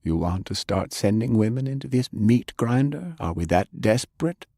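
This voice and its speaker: A man voicing a principled, weary older gentleman in an audiobook, speaking in a measured, disapproving manner. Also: on the low side, animated, no echo, studio quality. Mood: appalled. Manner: measured, reproving. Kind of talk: audiobook character acting.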